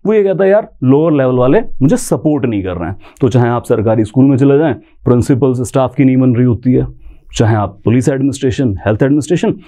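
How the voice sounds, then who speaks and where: An Indian-accented man gives an explanatory coaching lecture. His mid-pitched voice is mellow and rises and falls in a very lively way. He teaches conversationally, stressing key terms and posing rhetorical questions. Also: fast talker. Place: indoor teaching studio in front of a screen.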